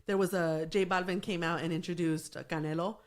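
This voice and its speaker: A woman's voice: fairly low pitch, steady tone, a little fast. A woman guest on a podcast, chatting casually and going off on tangents, laughing a lot. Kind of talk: casual chat. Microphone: studio podcast mic.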